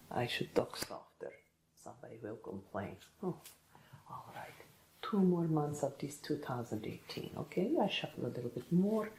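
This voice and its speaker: A woman giving a soft-spoken ASMR-style tarot reading in a gentle, reassuring, musing manner, often pausing to check in with the listener. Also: low voice, echoey room, slight background noise, low-quality recording. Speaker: tarot reader.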